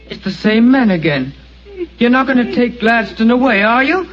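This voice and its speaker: An actor playing a gentle, childlike young man in an old radio drama, pleading and plaintive. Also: very high-pitched, lively intonation, moderate pace. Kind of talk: 1940s radio acting. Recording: dull lo-fi old radio recording.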